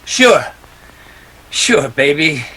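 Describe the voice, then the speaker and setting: A man's voice: fairly high pitch, sing-song, very slow, sharp tone, slight background noise, full character voice, rough recording. A man acting a hard-boiled, unyielding private detective in 1940s radio drama style, tough and emphatic. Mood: bitter.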